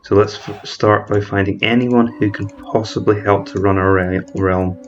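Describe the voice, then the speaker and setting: A man's voice: fairly low pitch, steady tone, moderate pace, dull audio. A man giving methodical commentary over a strategy game, thinking aloud and correcting himself mid-word. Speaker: strategy game let's-player. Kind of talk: explanatory gameplay commentary.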